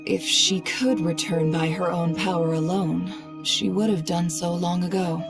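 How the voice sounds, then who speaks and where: Low-voiced, analytical female adventurer. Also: fairly even intonation, gravelly, over loud music. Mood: pondering, serious. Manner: questioning, deliberate. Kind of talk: animated game cutscene voice acting.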